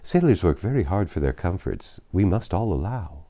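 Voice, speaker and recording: Guy reading a quietly firm young lady in an audiobook, sincere, mellow voice. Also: sits low, sing-song, normal speed. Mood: earnest, composed.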